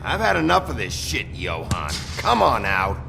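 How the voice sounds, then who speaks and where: An actor playing a gruff, world-weary sheriff in a noir video game, blunt, terse and sardonically threatening. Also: male voice, lowish voice, wildly swooping pitch, normal speed, music in the background, full character voice. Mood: impatient, menacing.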